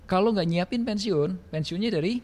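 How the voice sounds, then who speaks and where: A man's voice: high voice, moderate pace, darkish tone. A man in his thirties with an Indonesian accent, explaining things emphatically in a casual podcast interview, often with rhetorical questions. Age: 30s. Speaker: podcast guest, financial planning business owner. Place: small office room with bookshelves. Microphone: close podcast microphone on a stand.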